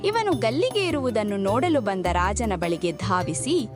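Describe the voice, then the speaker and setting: A woman narrating a scripted children's folktale in an expressive storytelling manner. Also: mid-range voice, measured pace. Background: background music.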